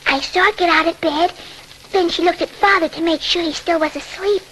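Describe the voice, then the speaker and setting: A woman's voice: very high voice, steady tone, brisk, sharp tone. A woman playing a frightened, tearful little sister in a 1940s radio horror drama. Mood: anxious, hushed. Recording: noisy, crackly 1940s radio recording.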